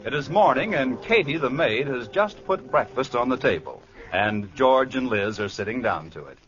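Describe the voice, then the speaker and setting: A man's voice: mid-range voice, lively intonation, moderate pace, fairly bright tone. A man narrating a scripted 1940s radio comedy as its announcer. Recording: muffled old radio recording with music.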